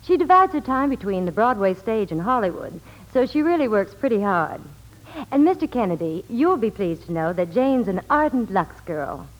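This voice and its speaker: Animated female voice, medium pitch, scripted 1940s radio reporter, sponsor-pitch style. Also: normal speed, highs cut off. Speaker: Hollywood reporter and soap pitchwoman.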